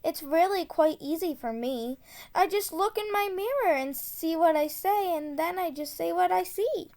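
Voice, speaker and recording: A woman reading a children's tongue-twister book aloud, enunciating carefully and deliberately. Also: very high voice, animated, a little mellow. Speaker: storybook reader.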